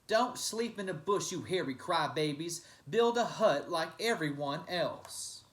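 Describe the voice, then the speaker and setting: Man acting out gruff storybook grouch, growling, grumbling, lively raised pitch, roomy echo. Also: measured pace. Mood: gruff annoyance.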